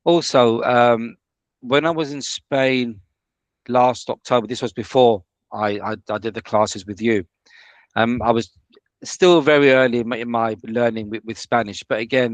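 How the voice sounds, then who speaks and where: Man from the UK, mid pitch, halting student guest, dry close mic. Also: steady tone, dry recording. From United Kingdom. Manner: hesitant, many restarts and fillers. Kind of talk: casual interview.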